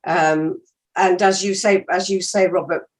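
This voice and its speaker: A woman's voice: on the low side, fairly even intonation, unhurried. An older woman, an actor chatting casually and reflectively in an interview.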